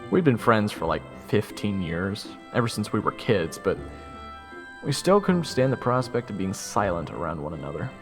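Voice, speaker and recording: A man's voice: fairly low pitch, very animated, moderate pace, over music. A man playing a weary, sarcastic young soldier who tells his story in a casual, exasperated way in an audio drama. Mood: wry, weary. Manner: wry, exasperated, casual first-person storytelling. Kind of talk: audio roleplay narration and dialogue.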